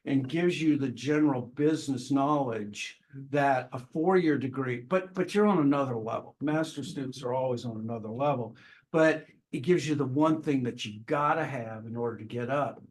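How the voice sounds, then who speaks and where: A middle-aged male professor talks his way through classroom introductions at a medium pitch. He is chatty and encouraging, and he often breaks off and restarts his sentences. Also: moderate pace, poor audio. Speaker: marketing professor.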